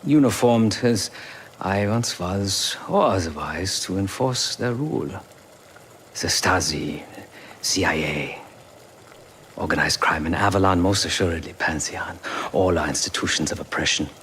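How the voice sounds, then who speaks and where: A man playing a remorseful, pacifist former enforcer in a video game cutscene, speaking in formal, measured, philosophical phrases. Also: low-pitched, lively intonation, a bit bright, slight background noise, exaggerated voice. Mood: grave, disdainful.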